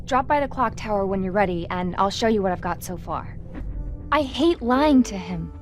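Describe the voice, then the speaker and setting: A woman's voice: mid-range voice, lively intonation, moderate pace, faint music behind, natural voice. A woman playing a confident, secretive ally in an action video game. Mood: guarded urgency.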